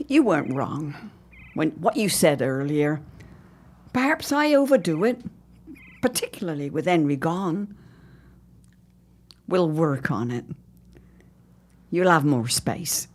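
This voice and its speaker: An actress playing a proper, sorrowful older mother in a period audio drama, with a measured, genteel manner and a husky voice. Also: low voice, very animated, heavily acted. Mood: gentle, reproving.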